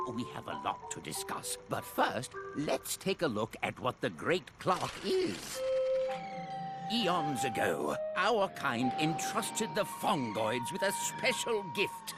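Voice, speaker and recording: Eccentric, high-voiced old alien scientist. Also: male voice, very animated, takes it slowly, over music. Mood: cheerful, welcoming. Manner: theatrical, bumbling, whimsical. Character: eccentric, cheerful, doddering elderly alien scientist. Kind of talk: animated video game cutscene acting.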